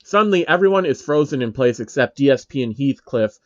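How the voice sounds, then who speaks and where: A man's voice: medium pitch, lively intonation, fairly quick, no room sound, dull audio. A man narrating a comedic story in a casual, irreverent way, with offhand asides.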